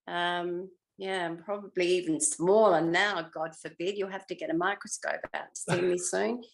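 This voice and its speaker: A woman's voice: lowish voice, steady tone, moderate pace, creaky, slight background noise. An older woman, over sixty, chatting reflectively as a retired actress in an interview, thinking aloud and letting her sentences trail. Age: 60s or older. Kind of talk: reflective casual interview chat.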